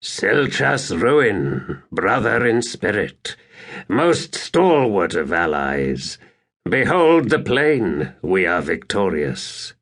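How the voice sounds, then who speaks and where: A narrator as a grand, slow-spoken warrior commander. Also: male voice, fairly low pitch, very animated, a bit bright, exaggerated voice. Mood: exultant, formal. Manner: grand, formal, declamatory. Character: victorious, silver-tongued tall grim male warrior commander. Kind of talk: dramatic audiobook character reading.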